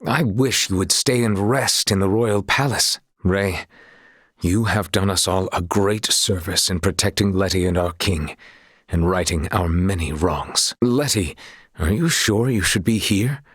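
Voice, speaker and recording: Low husky knight guy, solemn n remorseful, old-timey courtly talk, video game dialogue, super dry recording. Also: unhurried, fairly bright tone, no room sound, exaggerated voice. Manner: formal, archaic courtly phrasing. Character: solemn honourable male former sea knight, remorseful, dutiful. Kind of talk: dramatic scripted game dialogue.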